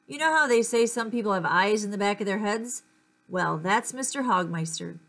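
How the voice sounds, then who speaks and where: A woman reading a children's book aloud as an expressive narrator. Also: mid-range voice, moderate pace. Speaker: story reader.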